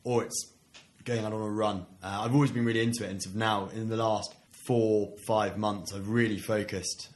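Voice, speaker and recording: A man's voice: fairly low pitch, fairly even intonation, normal speed. A young man from England, an army officer, answering interview questions in a modest, easygoing way. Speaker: guest, army officer and runner. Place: small studio room above a gym.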